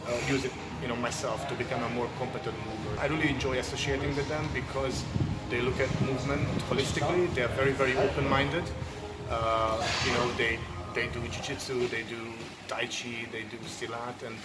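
A man's voice: medium pitch, little pitch movement, fairly quick. A man in his forties, originally from Hungary, speaking to camera in an earnest and thoughtful way with frequent ums and uhs, as a jiu-jitsu coach giving a testimonial in a martial arts gym. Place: large indoor martial arts gym with mats and people training. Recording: echoey gym with loud background noise.

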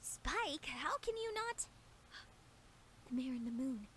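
Cartoon unicorn mare, young and anxious played by a woman: very high, bright, flustered voice, slight noise behind. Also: very animated, slow, full character voice. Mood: disbelieving. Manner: flustered, stammering, emphatic. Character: young female unicorn pony, bewildered, anxious, earnest. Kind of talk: animated fan-series dialogue.

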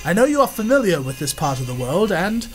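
A man's voice: high voice, sing-song, a little fast, soft background music. An actor playing a pompous, boastful male explorer in the manner of a 1930s radio serial. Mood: condescending. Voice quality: pompous, theatrical.

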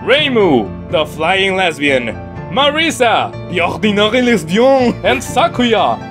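A man's voice: high-pitched, big pitch swings, takes it slowly, over music, exaggerated voice. A man playing a cheeky, mock-solemn narrator, delivering comic scripted narration for a cartoon parody.

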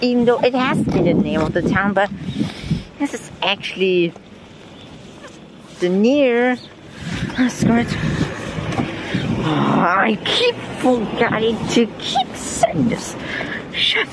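A man's voice: high-pitched, big pitch swings, slow pace, long pauses, very noisy. A young man with a German accent, rambling and self-deprecating as he vlogs on a walk, swearing casually. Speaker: vlogger. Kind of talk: casual walking vlog. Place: outdoors on streets and on a bus. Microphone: phone held while walking.

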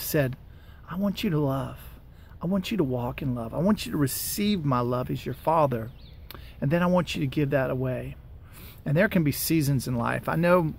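Higher-voiced American man, casual devotional talk. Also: creaky. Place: outdoors by a wooded parking lot. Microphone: camera or phone at arm's length. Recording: outdoor ambient noise.

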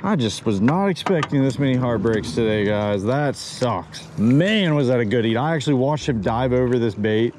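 A man commenting casually to the camera as he fishes from a boat on a lake, upbeat and quick to shout when a fish hits. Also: mid-range voice, animated, noisy background. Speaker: rival fishing youtuber.

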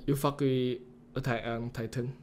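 A man's voice: medium pitch, little pitch movement, moderate pace, crowd noise. A young man hosting a casual stream chat, talking in slang, stammering and repeating his words. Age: young adult. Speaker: streamer host. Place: small bedroom streaming setup.

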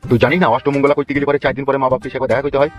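A man voicing a gruff but kindly middle-aged village father in a comic cartoon. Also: medium pitch, level tone, racing, close to an everyday voice. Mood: teasing. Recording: dull lo-fi audio, music bed.

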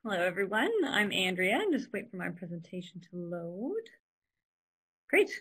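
Canadian lady giving a talk on a laptop call, lots of ums, kinda low voice. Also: animated, normal speed, a bit bright, slightly rough. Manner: chatty, with frequent ums and uhs. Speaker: systems engineer giving a talk. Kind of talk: casual presentation over video call. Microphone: laptop webcam on a video call.

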